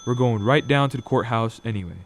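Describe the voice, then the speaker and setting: A man playing a gruff, impatient lawyer and father in an amateur radio drama, brusque and demanding. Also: medium pitch, a little fast, natural voice. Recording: clearly noisy background.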